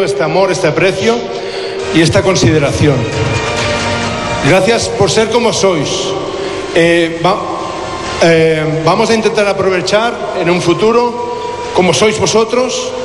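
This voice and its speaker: A man from Catalonia, a basketball coach, giving an emotional, grateful speech to the crowd. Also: high voice. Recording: echoey arena from far off.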